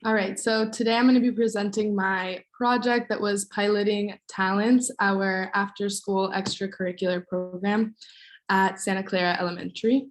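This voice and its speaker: A woman's voice: medium pitch, steady tone, normal speed. A woman teacher, born in Argentina and raised in Miami, introduces her project over a video call. Her delivery is friendly and well organized. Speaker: elementary school teacher presenting.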